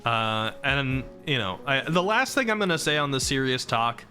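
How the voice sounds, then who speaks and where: A young man streaming live, chatting casually about himself with a rambling, self-deprecating manner, his voice starting to go hoarse from talking so long. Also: medium pitch, expressive, moderate pace, pushed and bright, faint music behind. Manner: rambling and self-deprecating, reading chat and laughing.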